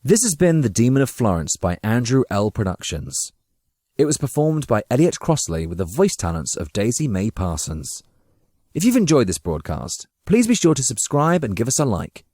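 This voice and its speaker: A man narrating a dramatic audiobook from a script, with measured, literary storytelling. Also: mid-range voice, very animated, warm tone, no echo, studio quality.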